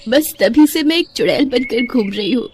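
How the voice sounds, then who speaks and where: A woman's voice: a little high, expressive, moderate pace, a little mellow, slight background noise, close to an everyday voice. A woman voicing a kindly, reassuring witch who was once a princess, in a cartoon bedtime story. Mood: sorrowful, earnest. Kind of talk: Hindi animated bedtime story acting. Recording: music behind the voice.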